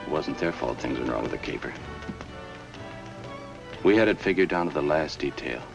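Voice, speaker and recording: An actor playing a stubborn, confident young American convict in a 1950s film noir. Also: male voice, very deep voice, lively intonation, normal speed, vocal fry, lo-fi sound, heavily acted. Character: stubborn, confident young convict, trusting his crooked pals. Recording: distant film sound under music.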